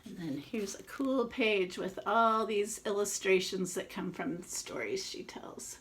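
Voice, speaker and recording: A woman's voice: medium pitch, a little slow, a bit bright, slight background noise. A woman reading a picture book aloud expressively, with informal asides as she points out the illustrations.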